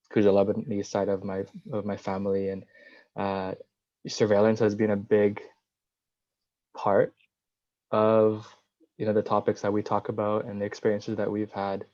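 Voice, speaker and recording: Flat, mellow man on lo-fi call. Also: fairly low pitch. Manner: earnest, halting with fillers. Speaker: discussion participant. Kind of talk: casual video call remarks. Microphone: computer microphone on a video call. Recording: dull lo-fi call audio.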